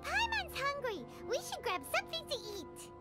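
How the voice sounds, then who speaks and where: A very high, squeaky childlike fairy with swooping, animated delivery. She is a perky, food-loving video game companion, heard over clear background music. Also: normal speed, heavily acted. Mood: chirpy, encouraging. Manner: refers to self in third person, cheery.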